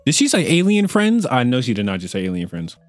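A man's voice: mid-range voice, wildly swooping pitch, quick pace, soft background music. A young man streaming himself reacting to a video, joking and teasing in casual commentary with mock apologies, talking into a desk mic with a headset in a room at home. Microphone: desk mic on a boom arm with headset.

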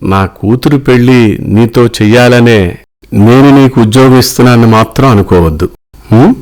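A man voicing a wealthy middle-aged businessman father in a cartoon story, measured, earnest and paternal. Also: mid-range voice, a little mellow, lightly acted. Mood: frank, assured.

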